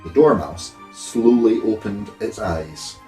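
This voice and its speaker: Unhurried man narrating a storybook. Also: on the low side, mellow, echoey room, soft background music.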